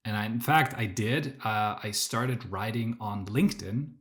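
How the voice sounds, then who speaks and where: A man's voice: fairly low pitch, a little slow, a bit bright. A man whose native language is German, telling his story as a podcast guest, with many fillers and restarts. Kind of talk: casual interview storytelling.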